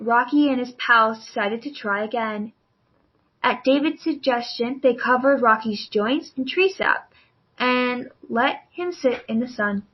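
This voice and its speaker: High-pitched woman reading a children's story slowly. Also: husky, lo-fi sound. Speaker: storybook narrator. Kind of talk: children's read-along narration.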